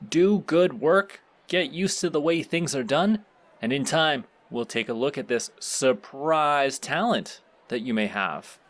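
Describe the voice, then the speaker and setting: A man's voice: fairly high pitch, animated, unhurried. A man playing a pleasant supervillain boss in an audio roleplay, speaking politely and formally as he instructs the listener. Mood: intrigued, measured. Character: courteous, surprisingly pleasant male supervillain boss.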